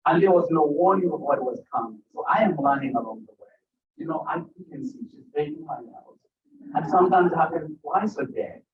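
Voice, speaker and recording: A man's voice: on the high side, animated, brisk, slight background noise. A man from Uganda speaking at an author event, chatting with the audience and joking between stories. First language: a language other than English. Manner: conversational, jokes with the crowd. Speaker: author at a book talk. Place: bookstore event room. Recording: roomy, distant, dull bookstore recording.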